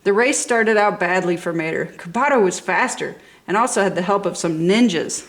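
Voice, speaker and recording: A woman's voice: mid-range voice, animated, normal speed. A woman reading a children's story aloud with enthusiasm and dramatic emphasis. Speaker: storybook reader. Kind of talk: expressive children's story narration.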